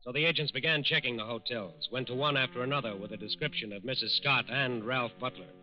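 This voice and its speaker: A man narrating a 1940s radio crime drama in a deliberate, dramatic manner. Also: medium pitch, dull audio. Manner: deliberate, dramatic repeated phrases. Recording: band-limited 1940s radio recording. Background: dramatic music.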